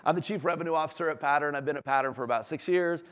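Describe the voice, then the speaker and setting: A man giving an informal business presentation as a company executive, enthusiastic, conversational and emphatic, with a slightly hoarse voice. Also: on the high side, very fast, fairly bright tone. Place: large room, talk before an audience. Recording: echoey hall with long reverb.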